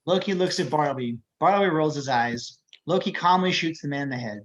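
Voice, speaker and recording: A man's voice: on the high side, fairly quick, a bit bright, lo-fi sound. A man reading out the action descriptions of a screenplay as scripted narration.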